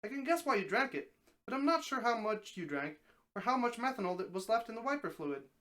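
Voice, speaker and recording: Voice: mid-range voice, big pitch swings, fairly quick, fairly bright tone. A reader voicing a talking snake from a story, helpful and wry, explaining things in a matter-of-fact way. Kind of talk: storybook dramatic reading.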